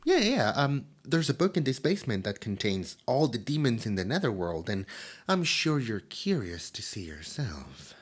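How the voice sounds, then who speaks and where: A man's voice: medium pitch, big pitch swings, normal speed, breathy edge. Man voicing a giggly, jumpy demon. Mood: excited, chatty. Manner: stammering, giggly, fidgety. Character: nervous giggly demon host, lonely and eager. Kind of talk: audio roleplay for listener.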